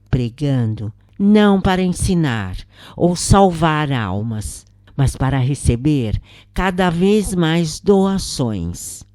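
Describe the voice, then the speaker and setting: A woman with a Brazilian accent narrating a first-person spiritual story in a solemn, measured storytelling manner. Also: low voice, warm tone, dry recording, studio quality.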